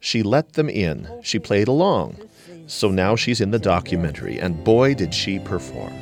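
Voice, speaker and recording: A man hosting a radio show, reading a scripted introduction in an animated, questioning way before interviewing his guest. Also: sits low, normal speed, creaky, soft background music.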